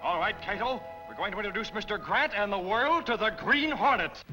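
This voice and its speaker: Bold masked avenger, lively delivery. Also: male voice, sits high, brisk, loud music behind the voice, poor audio. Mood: eager, confident. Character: bold, commanding male newspaper editor turned masked avenger. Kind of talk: 1940s film serial acting.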